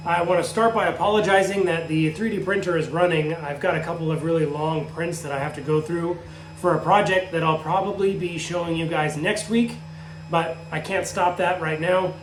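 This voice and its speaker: A man's voice: a little high, normal speed, low-quality recording. Sick-sounding man in a workshop. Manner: steady, explains step by step. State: sick, nearly lost voice, sore throat. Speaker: shop owner showing parts. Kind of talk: casual product update. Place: workshop with a 3D printer running. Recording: faint printer hum behind. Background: faint printer noise.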